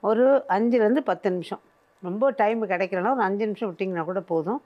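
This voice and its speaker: A woman's voice: mid-range voice, fast, lo-fi sound. An older woman presenting a cooking show, patiently explaining each step as she works in a studio kitchen.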